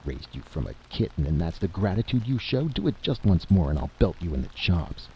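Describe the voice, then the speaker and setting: A man voicing a gruff, slangy and stubbornly confident adventurer in a story reading. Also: sits low, sing-song, fairly quick, muffled recording, a little hiss or hum, heavily acted. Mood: playful scolding.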